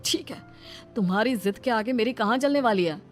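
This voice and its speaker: A woman's voice: a little high, lively intonation, brisk, faint music behind, lightly acted. Woman playing an exasperated young husband. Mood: resigned. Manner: weary, complaining. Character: exasperated young husband of a film-crazed wife.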